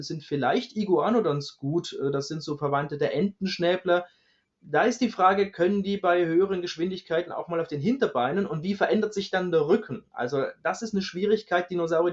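A man giving informal explanations as an expert researcher, on a slightly muffled recording. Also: high-pitched. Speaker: dinosaur researcher, expert guest.

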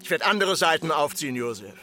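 An actor playing a stern, threatening villager who insistently admonishes and demands order, in a literary radio drama. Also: male voice, a little high, big pitch swings, quick pace, bright, forceful tone, faint music behind.

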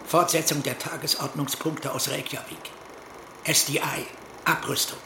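A man playing a guarded, earnest East German Stasi colonel in a dramatised dialogue, answering in a clipped, evasive way with a very husky voice. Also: a little high, fairly even intonation, moderate pace, reverberant, background noise, natural voice. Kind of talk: dramatised reading of a literary dialogue.

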